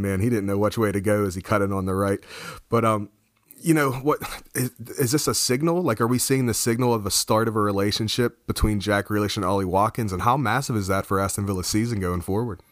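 A hoarse man co-hosting a casual football review, talking excitably and rambling with many restarts. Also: lowish voice, fast talker, dry recording, clean studio sound. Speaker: football podcast co-host. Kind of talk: casual match review chat.

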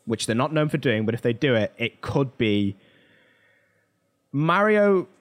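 A man's voice: medium pitch, sing-song, measured pace. A man co-hosting a pop culture talk show, discussing things in a casual, conversational way. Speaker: podcast co-host.

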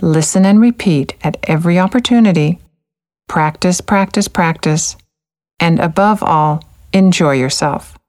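A woman with a low voice narrates a language course in a calm, encouraging way. Her delivery is measured and steady, like a scripted guide.

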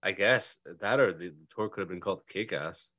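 Man co-host, Canadian, very low voice, dry close sound, joking and laid-back. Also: normal speed, pushed and bright, dry recording. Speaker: podcast co-host. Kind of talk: casual chat.

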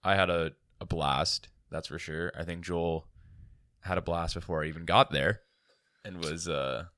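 A man's voice: deep voice, fairly even intonation, sharp tone, no echo, clean studio sound. A man co-hosting a podcast, chatting casually and throwing in teasing jokes. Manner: joking, teasing, quick asides. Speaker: podcast co-host. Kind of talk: casual chat.

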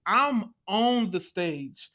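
American man, very high voice, bright and forceful, casual chat, excitable, repeats himself for emphasis. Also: extremely slow. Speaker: stage play cast member on a group talk show.

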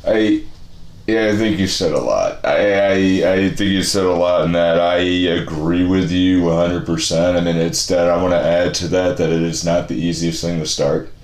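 A man with a very low voice talks in a loose, rambling way, full of slang and fillers. He sounds like he's in his thirties and hosts a relaxed chat. The room is echoey. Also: moderate pace. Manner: laid-back, slangy, rambling with many fillers. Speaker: podcast host. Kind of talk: casual conversation.

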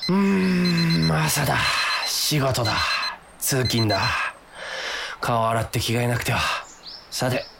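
A man's voice: medium pitch, steady tone, slow, creaky, background noise. A man playing an earnest, flustered office worker who sees himself as a hero, acting and narrating a radio drama. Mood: groggy, puzzled. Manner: dramatic inner monologue, earnest.

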